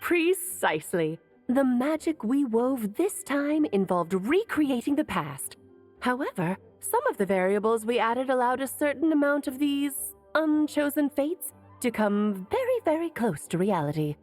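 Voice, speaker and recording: A woman's voice: high voice, sing-song, measured pace, darkish tone, soft background music, heavily acted. An actress playing a worldly, playful sorceress mother in a video game, teasing and eloquent in an airy, theatrical way. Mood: dramatic explaining. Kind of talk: video game character acting.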